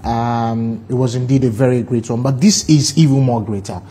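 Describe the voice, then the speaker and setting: A man's voice: medium pitch, moderate pace, warm tone. A man with a Nigerian accent co-hosting, putting questions in a casual interview style. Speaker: TV show co-host.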